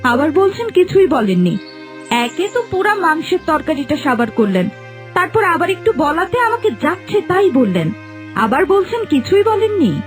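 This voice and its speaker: A woman playing a cruel, bossy young daughter-in-law in a cartoon folk tale, scolding with sharp sarcasm. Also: extremely high pitch, sing-song, normal speed, soft background music. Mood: indignant.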